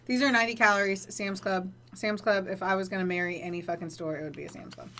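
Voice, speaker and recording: A woman's voice: medium pitch, steady tone, fast talker, a bit bright. A young adult woman co-hosting a podcast, chatting casually over drinks, blunt and teasing, swearing freely. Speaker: podcast co-host.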